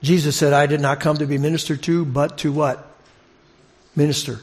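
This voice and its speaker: A man's voice: a little high, level tone, normal speed. A man giving a conversational expository sermon as a preacher, posing questions to his listeners and repeating phrases for emphasis. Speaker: preacher teaching a congregation.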